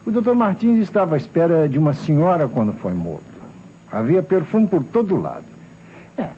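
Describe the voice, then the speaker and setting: Animated Brazilian police assistant. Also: male voice, a little high, fairly quick. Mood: earnest, reporting. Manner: deferential, hesitant, thinking aloud. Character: deferential, earnest male police assistant, eagerly reasoning. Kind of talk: old Brazilian radio crime drama acting. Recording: dull old radio recording, faint noise.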